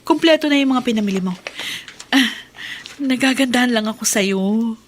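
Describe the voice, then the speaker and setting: A woman's voice: a little high, lively intonation, moderate pace, natural voice. An actress playing a guarded, secretive mother and household employer in natural radio drama dialogue. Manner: natural dialogue with hushed confiding asides. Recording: noisy radio drama with background ambience.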